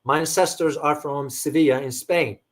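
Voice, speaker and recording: A man's voice: a little high, steady tone, moderate pace. Moroccan-born man chatting casually on stream. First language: Arabic. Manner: rambling, frequent restarts and fillers, thanks viewers by name. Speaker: host answering viewer questions. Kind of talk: casual livestream chat.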